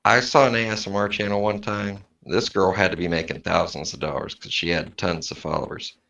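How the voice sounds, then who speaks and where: A man chatting casually on a stream, talkative and quick to laugh. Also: deep voice, steady tone, gravelly, sharp tone. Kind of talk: casual chat.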